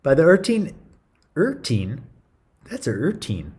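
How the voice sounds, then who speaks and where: A man's voice: fairly high pitch, wildly swooping pitch, slow pace, darkish tone, breathy edge. A man reading a story aloud to children in a theatrical, playful style, with chatty asides. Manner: theatrical, playful, interjecting comments to young listeners.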